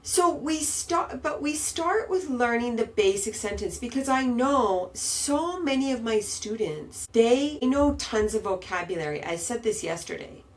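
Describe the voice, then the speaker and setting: A woman podcast host and English teacher, a native English speaker, explaining sentence structure in a slow, patient, emphatic way. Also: fairly high pitch, a little mellow. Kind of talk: podcast grammar lesson. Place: Istanbul.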